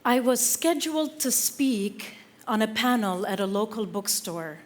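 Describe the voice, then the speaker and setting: A woman from Lebanon giving an inspirational stage talk, slow and measured with dramatic pauses between phrases. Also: on the high side, expressive. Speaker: speaker. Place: theater stage.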